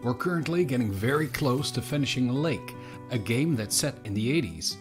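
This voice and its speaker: Male developer, mid pitch, highly varied intonation, scripted showcase presentation. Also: expressive, moderate pace, over music. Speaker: game developer.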